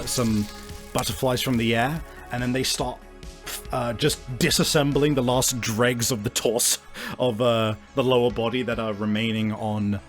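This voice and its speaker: A man improvising narration as a game master, descriptive and often searching for his words. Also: medium pitch. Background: faint music.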